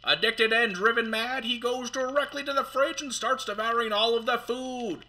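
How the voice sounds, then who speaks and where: A man playing an excitable, melodramatic announcer in 1950s radio drama style. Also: very high-pitched, normal speed, full character voice. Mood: melodramatic, urgent.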